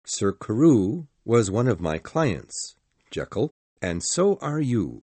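A man acting a grave, formal gentleman in a gothic mystery audiobook, speaking in a deliberate, earnest way. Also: lowish voice, very animated, husky, no room sound, heavily acted. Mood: grave, probing. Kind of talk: dramatised audiobook dialogue.

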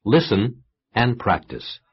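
A man announcing exercise titles and instructions on an English course recording in a measured, formal way. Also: on the low side, very animated, crowd noise. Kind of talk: scripted instructional narration. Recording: muffled lo-fi tape.

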